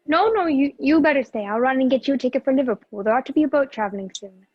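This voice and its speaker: A feisty young radio-play heroine with a high voice, acted by a woman. She is sharp-tongued but willing to help. The recording is close, dry and a little muffled. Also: lively intonation, quick pace, slightly rough, no echo. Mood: puzzled. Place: video call, dry room. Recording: muffled video-call audio.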